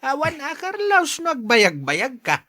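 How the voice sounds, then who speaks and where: A man's voice: extremely high pitch, huge pitch swings, unhurried, sharp tone, dry recording, studio quality, exaggerated voice. A man acting a blunt, matchmaking older father in a radio drama, with an Ilocano accent. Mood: teasing, insistent.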